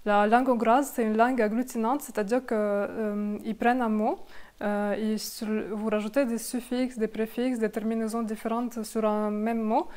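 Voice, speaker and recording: Clear, higher-voiced woman vlogger. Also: level tone, moderate pace, darkish tone. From grew up outside France, moved there later. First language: not French; learned it after moving to France. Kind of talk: casual chat to viewers about expat life.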